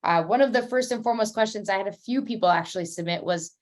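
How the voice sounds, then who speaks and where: A woman's voice: medium pitch, fast. A young woman working in admissions, hosting an online panel in a chatty, upbeat way with many fillers, heard over a video call. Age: young adult.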